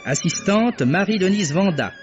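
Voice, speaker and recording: A man announcing a radio drama and reading its credits in a slow, formal announcer's manner. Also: sits high, huge pitch swings. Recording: dull lo-fi archive radio with music.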